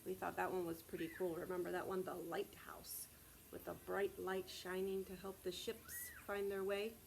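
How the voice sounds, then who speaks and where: A woman preschool teacher reading aloud to young children in a deliberate, child-directed way, often asking prompting questions. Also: lowish voice, little pitch movement, gravelly, slight background noise, low-quality recording. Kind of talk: read-aloud and sing-song circle time for young children.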